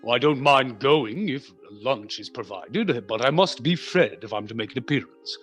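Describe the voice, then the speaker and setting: A man playing an indifferent, self-serving businessman in a radio play, drawling and husky. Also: mid-range voice, expressive, normal speed, a bit bright, soft background music. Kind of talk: classic radio play acting.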